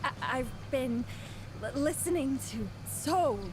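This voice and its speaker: A woman's voice: high-pitched, animated, slow, background noise. A woman playing a shy, starstruck young fan in an anime video game, earnest and stammering with nerves. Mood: flustered, adoring. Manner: nervous, breathy stammering, earnest.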